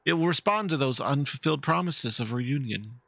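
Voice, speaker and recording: A man plays a war-veteran fox woman from a game, wistful and dignified. The delivery is earnest and measured, with a creaky, bright tone. The recording is dry, with light music underneath. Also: mid-range voice, expressive, no echo, full character voice. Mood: solemn explaining. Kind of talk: dramatic reading of game dialogue.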